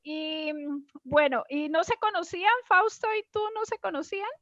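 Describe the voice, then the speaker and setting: High-voiced Colombian woman, dull audio. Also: normal speed, fairly bright tone, no echo. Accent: Colombian, Cali area. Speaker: host. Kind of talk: casual conversational hosting.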